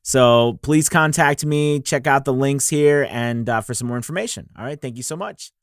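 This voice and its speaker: A man's voice: medium pitch, normal speed, dry recording, clean studio sound. A man, a voice actor and coach, delivering scripted promotional narration straight to the listener. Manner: direct address to the listener.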